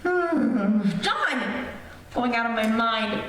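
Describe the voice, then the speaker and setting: A woman's voice: a little high, expressive, drawn-out words, a bit bright, heavily acted. A woman playing a nagging, sleepless, self-pitying wife in old-time radio comedy style, exasperated and whiny. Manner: exasperated, whiny, needling complaints. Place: stage performance in a reverberant hall. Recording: very echoey hall from far off, faint noise.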